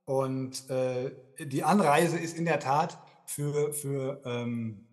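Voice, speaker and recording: A man's voice: medium pitch, takes it slowly. A man from the Ruhr area, a sales manager as podcast guest, answering in a friendly, rambling way with many fillers. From Germany (Dortmund, Ruhr area). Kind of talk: conversational interview answer.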